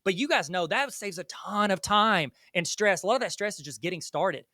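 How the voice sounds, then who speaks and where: A man's voice: high-pitched, lively intonation, a little fast, bright, forceful tone, dry recording, clean studio sound. A man with a Southern US accent, a guest on an interview, talking in a rambling, joking, self-deprecating way. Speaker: guest educator. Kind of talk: casual interview chat.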